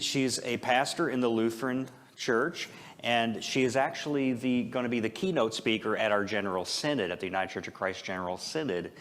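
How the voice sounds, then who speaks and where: A man's voice: medium pitch, a bit bright. Conversational male pastor giving an informal sermon. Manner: joking, self-deprecating, with rhetorical questions. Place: church service, speaking before a congregation.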